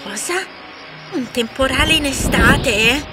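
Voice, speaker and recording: An anxious fairy from a storybook cartoon, voiced by a woman, with a high voice and slow, measured delivery. She sounds earnest and dramatic, with soft music underneath. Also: poor audio. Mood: puzzled, suspicious. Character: anxious fairy, wary and wide-eyed. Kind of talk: dubbed fairy-tale cartoon acting.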